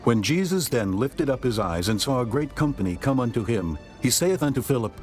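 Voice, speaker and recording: A man narrating scripture from a script in measured, formal storytelling. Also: mid-range voice, expressive, a little mellow, soft background music.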